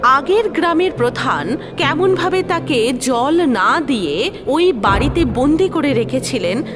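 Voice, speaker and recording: A woman's voice: sits high, lively intonation, a little slow. A woman narrating a cartoon story in a sing-song storytelling way. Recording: music underneath.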